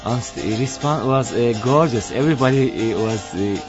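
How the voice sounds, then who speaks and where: A man's voice: medium pitch, normal speed, highs cut off, music in the background. A young man from Turkey with a Turkish accent, speaking hesitantly in a studio interview. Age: young adult. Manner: hesitant, many fillers and restarts. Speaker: doctoral student interviewee. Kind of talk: casual interview.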